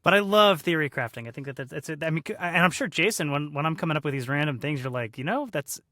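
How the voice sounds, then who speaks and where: A man's voice: a little high, quick pace, pushed and bright, no room sound, clean studio sound. A man hosting a casual podcast chat, speaking in an informal, enthusiastic way. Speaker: podcast host and cast member.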